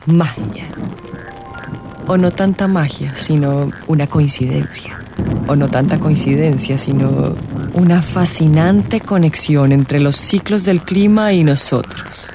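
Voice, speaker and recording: A woman acting a mystical, teasing tarot reader in a radio drama, theatrical and suggestive, with dramatic pauses. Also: fairly low pitch, warm tone, slight background noise. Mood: intrigued, playful. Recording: music behind the voice. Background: music.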